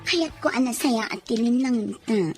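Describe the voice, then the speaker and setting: A woman's voice: fairly high pitch, moderate pace, soft background music, close to an everyday voice. A woman playing a defensive, secretive lady of the house in a drama, with a theatrical, insistent delivery. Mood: scheming, cajoling. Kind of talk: Ilocano radio-style drama acting.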